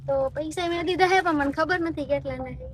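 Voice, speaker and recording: A woman's voice: sits high, fairly quick, a little hiss or hum. A young woman chatting casually as a vlogger, teasing and dropping in English words. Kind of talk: casual vlog chat.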